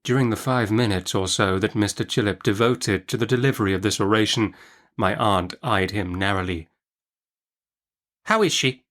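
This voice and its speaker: A man reading a classic novel aloud as an audiobook narrator, in an expressive literary storytelling style. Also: on the low side, brisk.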